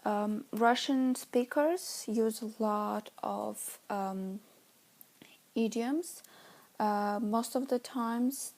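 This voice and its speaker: Romanian-accented woman student presenting, unhurried and halting, searches for words. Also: medium pitch, warm tone. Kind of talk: class presentation.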